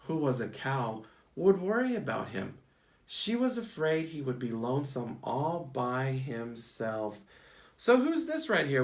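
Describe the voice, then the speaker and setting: Male early-years teacher, slightly high voice, lively intonation, reads a picture book and prompts listeners with questions and praise. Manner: asks questions, praises and repeats answers in short phrases. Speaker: teacher reading a picture book to young children. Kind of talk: interactive storybook read-aloud.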